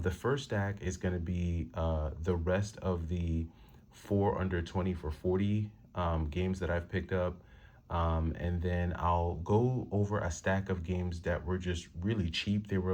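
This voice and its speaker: A man with a deep, rumbling voice talking quietly at home late at night, casually showing the games he has picked up. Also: fairly even intonation, normal speed. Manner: quiet, hushed talk to avoid waking the family. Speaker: retro game collector vlogger. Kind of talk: casual haul show-and-tell.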